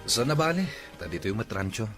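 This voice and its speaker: A man's voice: fairly low pitch, big pitch swings, fast talker, music in the background. A man playing a terse, brooding former playboy in an audio drama. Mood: dismissive. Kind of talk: Ilocano audio drama dialogue.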